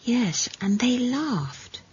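A woman voicing an earnest, unsure young girl in a storybook, soft-spoken and hesitant. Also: fairly high pitch, huge pitch swings, moderate pace, breathy edge, muffled recording, voice pushed up, full character voice. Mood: puzzled, quiet.

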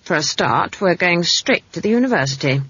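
A woman's voice: fairly low pitch, lively intonation, moderate pace, a bit bright, husky, dry recording, muffled recording. A woman voicing a sour, brusque old country witch in a storybook, wry and knowing. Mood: matter-of-fact. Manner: sour, brusque, cryptic, scolding.

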